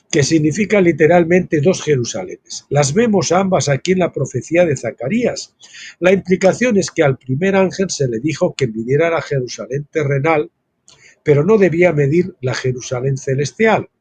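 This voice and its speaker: A man's voice: on the high side, darkish tone, husky, dry recording. A man reading a Bible study book aloud and breaking off into casual asides of his own, like a teacher. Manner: reads text aloud, then breaks off with informal asides.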